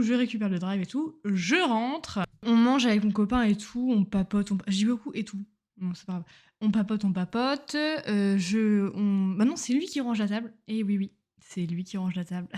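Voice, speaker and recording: A woman's voice: mid-range voice, darkish tone. Chatty young woman rambling to her mic. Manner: chatty, rambling, self-mocking, full of slang. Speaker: podcaster, medical student. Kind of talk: casual diary-style chat. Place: at home on a couch. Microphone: home microphone.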